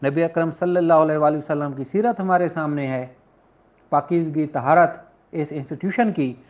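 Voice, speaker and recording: High-pitched Pakistani man lecturing on dull audio. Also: fairly even intonation. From Punjab, Pakistan. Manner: explanatory, switches into slow deliberate reading. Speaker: law lecturer. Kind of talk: informal lecture, explaining and reading out legal text.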